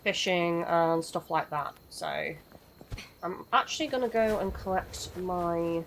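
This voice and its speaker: A woman's voice: lowish voice, a little slow, slight background noise, poor audio. A young woman chatting through a live game stream, greeting viewers as she plays, her voice worn from losing it lately. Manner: chatty, rambling, chats with viewers. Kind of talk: live gameplay commentary.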